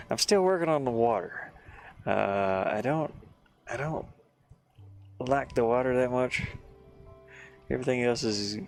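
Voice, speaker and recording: Slow, gravelly low-voiced man streaming casually. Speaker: livestreamer, hobby game artist. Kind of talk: casual livestream chat while working. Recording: clear background noise, live stream.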